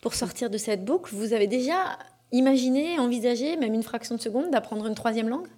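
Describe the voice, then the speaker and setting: A woman's voice: a little high, animated, fairly quick, a little mellow. A woman interviewer asking careful questions with some hesitations.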